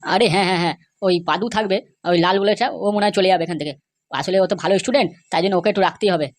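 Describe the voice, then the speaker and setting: A woman's voice: lowish voice, very fast, natural voice. A woman playing an evasive, scheming male schoolmaster who placates and repeats himself in a comedy cartoon. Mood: placating, evasive. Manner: placating, repetitive.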